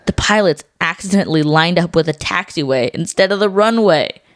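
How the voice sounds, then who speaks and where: A woman co-hosting a scripted educational podcast for children, explaining things clearly. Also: on the low side, lively intonation, brisk, no echo, studio quality. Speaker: podcast co-host.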